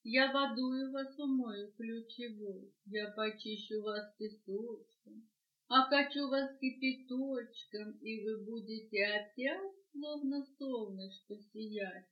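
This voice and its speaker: A grandmother reading aloud puts on a sorry old peasant granny, crooning softly to her runaway pots and pans. She sings slowly, in a slightly high voice. The storybook reading has a muffled cassette sound with room echo. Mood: tender. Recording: dull echoey home cassette.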